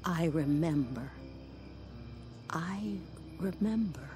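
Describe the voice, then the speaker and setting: An actress playing a wistful old woman in a radio drama, cautious and longing, with a deliberate, emotive delivery. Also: low voice, expressive, darkish tone, faint music behind.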